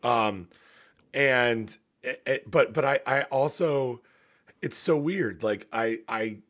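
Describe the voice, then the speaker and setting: A man with a gravelly, slightly hoarse voice, rambling and cutting himself off in a casual podcast chat. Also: mid-range voice, slow, fairly bright tone. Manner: rambling, self-interrupting. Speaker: podcast co-host, university academic.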